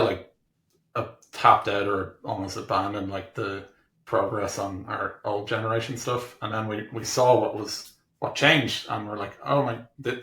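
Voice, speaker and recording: A man being interviewed as a technology executive, explaining things casually in halting fragments as he thinks aloud. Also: on the low side, vocal fry, fairly bright tone. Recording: roomy, echoing room sound.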